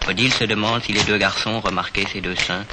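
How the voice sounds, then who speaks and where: Low-voiced man narrating an old film. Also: fast. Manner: calm, even, literary storytelling. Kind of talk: scripted voice-over narration. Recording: loud hiss of an old film soundtrack.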